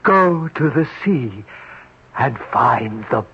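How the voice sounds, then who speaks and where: An actor playing a polite, sly demon posing as a servant, with husky, formal, clipped phrasing in an old radio drama. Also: male voice, medium pitch, lively intonation, slow, very noisy. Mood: politely sly. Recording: dull lo-fi old radio recording.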